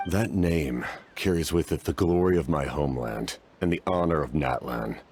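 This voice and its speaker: An actor playing a stoic, war-weary knight-commander in a fantasy game, speaking formally and gravely. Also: male voice, very deep voice, moderate pace, a little mellow. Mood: solemn, reflective.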